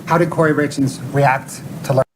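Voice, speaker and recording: A man questioning a witness as a lawyer in formal, measured, halting phrases, on noisy courtroom audio. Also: mid-range voice, fairly even intonation.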